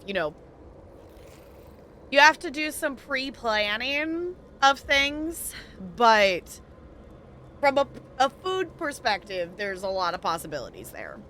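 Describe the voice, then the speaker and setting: Bright-voiced woman live streamer, unhurried. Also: a little high, a little hiss or hum. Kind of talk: casual chat with stream viewers while gaming.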